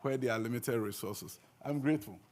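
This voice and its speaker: A man's voice: medium pitch, a little fast, very noisy. A man, an education minister, giving a conference speech, earnest and emphatic, with some fillers and restarts. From Ghana. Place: conference hall.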